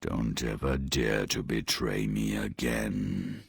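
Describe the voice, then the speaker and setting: A man's voice: dry recording, studio quality, heavily acted. A man playing Death as a stern, commanding supernatural godfather in a fairy-tale video game. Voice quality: very deep, gravelly, husky. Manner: slow, commanding, ominous.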